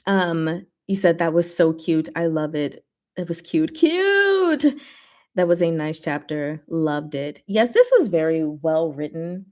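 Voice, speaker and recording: A woman's voice: deep voice, animated, slow pace, darkish tone. A woman hosting a casual livestream, chatting with viewers in short, giggly asides. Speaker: livestream host.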